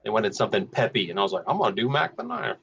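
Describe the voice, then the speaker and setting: A man's voice: fairly low pitch, sing-song, rapid-fire, vocal fry, fairly bright tone, highs cut off. A man about to turn fifty chatting casually as a podcast guest, jokey and laughing often. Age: 40s. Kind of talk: casual group chat.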